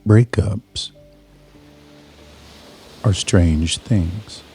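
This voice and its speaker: Man, low dark mellow voice, guided hypnosis narration, measured, soothing, short phrases with pauses. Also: faint music behind. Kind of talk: slow scripted guided meditation.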